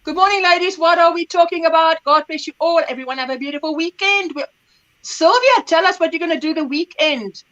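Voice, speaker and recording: A woman's voice: very high voice, brisk, fairly bright tone, highs cut off. A middle-aged woman, an Afrikaans speaker, hosting a casual livestream chat, rambling and searching for words as she reads comments. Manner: chatty, rambling, searches for words, laughs often. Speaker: livestream host.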